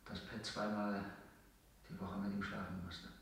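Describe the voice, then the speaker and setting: A man's voice: fairly low pitch, level tone, a little slow, a bit bright, a little hiss or hum. A man in a German radio drama playing a desperate, embittered actor under interrogation, speaking insistently and with passion. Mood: bitter, weary. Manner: impassioned, insistent, repeating himself. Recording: very echoey room, distant from mic.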